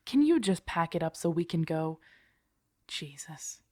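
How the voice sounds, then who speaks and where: A woman's voice: on the low side, big pitch swings, a little slow, a little mellow, no echo, clean studio sound. A woman acting in an audio roleplay as a grumpy, protective zombie apocalypse survivor, blunt and sardonic, talking intimately to her companion. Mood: grumpy, exasperated.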